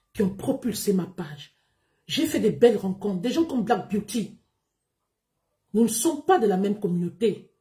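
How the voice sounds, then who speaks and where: A woman, talking straight to her followers on a livestream. Her voice is mellow and warm, and her pitch rises and falls in big, lively swings. She speaks unhurriedly and declaims each point with weight. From Gabon. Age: 30s. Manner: emphatic, declamatory, deliberate. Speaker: live streamer.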